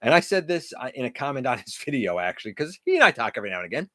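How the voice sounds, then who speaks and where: A middle-aged man with a loud, carrying voice hosts a casual livestream, chatting and answering viewers' comments. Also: medium pitch, very animated, racing, no echo, studio quality. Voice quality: loud voice that carries. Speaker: livestream host; teacher. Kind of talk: casual live-stream chat with viewers.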